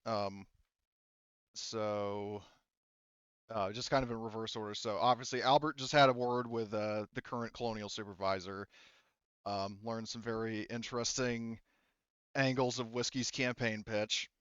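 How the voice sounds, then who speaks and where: A man acting as game master, narrating casually in a halting, rambling way with frequent self-corrections. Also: lowish voice, steady tone, fairly bright tone, smooth, no room sound, highs cut off.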